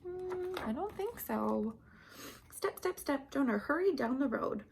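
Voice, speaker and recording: A woman storyteller with a fairly high voice reads to small children at a very slow pace. She speaks in simple, repeated phrases and puts questions to her young listeners, with a little creak in the voice. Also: animated, darkish tone, a little hiss or hum. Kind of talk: animated read-aloud for young children.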